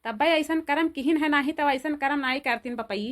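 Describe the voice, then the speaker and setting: Woman acting high-voiced grieving village mother-in-law, Awadhi accent. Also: natural voice. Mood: anguished lament. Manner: plaintive, drawn-out lamenting, breaking into mournful song. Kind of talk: amateur cartoon drama dub.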